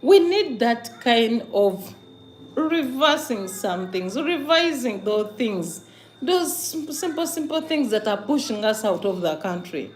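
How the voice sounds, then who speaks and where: A Ugandan woman with a somewhat high-pitched voice speaks casually to her livestream viewers. She is emphatic, repeating herself and punctuating her talk with questioning hums. There is clear noise behind her voice. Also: sing-song, slow pace, poor audio. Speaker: livestream host.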